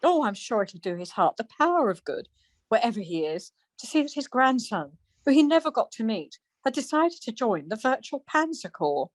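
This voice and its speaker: An actress playing a dry, sarcastic, teasing middle-aged wife in an amateur radio play. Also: female voice, fairly high pitch, very animated, moderate pace, dry recording.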